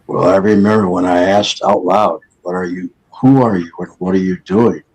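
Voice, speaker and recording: A man with a deep, gravelly voice, recalling a memory haltingly while under hypnotic regression. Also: fairly even intonation, a little mellow, low-quality recording. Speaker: regression subject.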